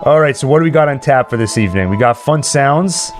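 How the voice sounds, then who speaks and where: A man's voice: medium pitch, animated, fairly quick. A man chatting casually and jokingly as host of a live stream. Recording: spooky ambient music playing behind. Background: ambient Halloween music.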